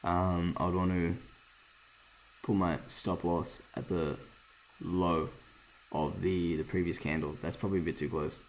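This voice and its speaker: A man with a hoarse voice casually thinking aloud while reviewing trading charts, rambling, swearing freely and correcting himself. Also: low-pitched, little pitch movement, measured pace, a little hiss or hum. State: hoarse, lost voice from screaming. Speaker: forex trader reviewing charts.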